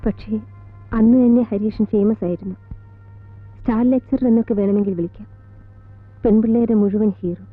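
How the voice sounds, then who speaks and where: An actress playing a composed middle-aged college teacher in a film drama, speaking in a measured Malayali accent with deliberate pauses between short phrases. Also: female voice, mid-range voice, fairly even intonation, no echo, natural voice. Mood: sombre, reflective. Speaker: actor in a film. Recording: tinny band-limited old film soundtrack, music behind.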